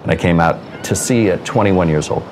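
A man's voice: deep voice, brisk, noisy background. A man from Seattle, a cruise ship hotel director, explaining operations with pride in a documentary interview. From Seattle, United States.

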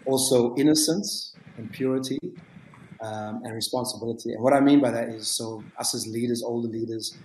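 A man in his late forties, a youth ministry leader, speaking on a video call panel in a relaxed, rambling way with lots of fillers. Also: medium pitch, brisk. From South Africa. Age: 40s. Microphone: video call webcam. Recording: echoey room with faint noise.

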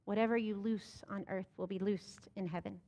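A woman's voice: medium pitch, fairly even intonation, moderate pace, warm tone, in a large hall. A young American woman pastor preaching a sermon from the pulpit of a church sanctuary, asking rhetorical questions and building to emphatic, rising lines. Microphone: pulpit microphone.

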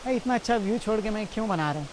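Young Indian comic, twenties, awkward and self-mocking, swearing, talking to camera outside, distant mic, faint hiss. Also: male voice, high-pitched, lively intonation, racing. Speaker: stand-up comedian. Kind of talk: rambling outtakes to camera, then stand-up set. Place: outdoors by a jungle stream.

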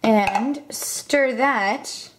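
A young woman casually walking through a home recipe at her stove, talking with lots of ums and quick self-corrections. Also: on the high side. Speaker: cooking vlogger. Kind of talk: casual cooking demonstration. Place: home kitchen. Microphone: camera mic, filming over the stove. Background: clinking pots and utensils.

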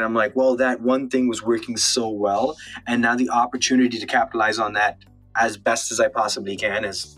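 A man's voice: mid-range voice, fairly bright tone, husky, low-quality recording. A man, talking casually as a podcast guest and acting out his thoughts as quotes. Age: late 20s, turning thirty. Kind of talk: casual interview talk.

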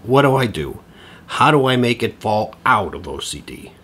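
A middle-aged father in a comedic audio drama. His husky voice swings widely in pitch. He comes across as sardonic, frazzled and exasperated. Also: sing-song, moderate pace, a bit bright. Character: sarcastic, frazzled middle-aged divorced dad.

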